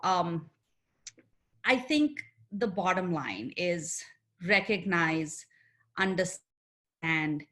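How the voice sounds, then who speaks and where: A woman's voice: on the low side, a bit bright. Indian lady psychologist on a video panel, earnest, leans on key words. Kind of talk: online panel discussion.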